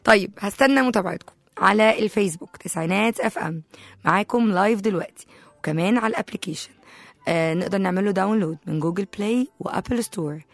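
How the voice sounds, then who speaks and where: A woman with an Egyptian accent and a slightly hoarse voice, chatting playfully and directly to listeners as a live radio host. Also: medium pitch, quick pace, dry recording. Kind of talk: casual live radio talk. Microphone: close studio microphone.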